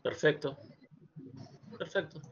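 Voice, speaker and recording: An Argentine man moderating a lecture series, giving reflective academic commentary in an emphatic, deliberate way, thinking aloud as he goes. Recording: dull, lo-fi online audio.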